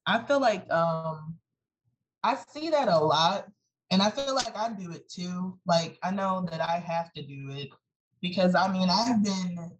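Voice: medium pitch, expressive, unhurried, slight background noise, rough recording. A young adult student panelist telling a story casually and rambling while searching for words.

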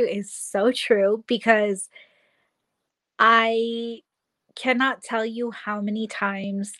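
A woman in her twenties talks as a guest in an easygoing chat. She speaks at an average pitch and animates her points by acting out her own inner thoughts as quotes. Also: a bit bright. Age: 20s. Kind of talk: casual chat.

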